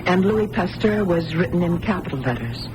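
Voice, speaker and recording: A woman's voice: lowish voice, lively intonation, normal speed, natural voice. A woman acting in a 1980s radio drama as a troubled, earnest psychic test subject. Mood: entranced, sure. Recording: noisy old radio broadcast recording.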